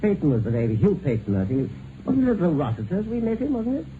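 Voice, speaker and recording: An actor playing a jovially callous, domineering upper-class husband with a hearty, mocking manner in a 1950s radio drama. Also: male voice, high voice, huge pitch swings, quick pace. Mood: bluff, needling. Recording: noisy, tinny 1950s radio broadcast.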